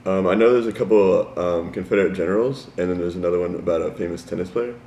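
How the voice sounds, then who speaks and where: A man's voice: deep voice, fast, vocal fry, dark tone, reverberant, slight background noise. A young man from rural Virginia answering street interview questions in a laid-back, tentative way.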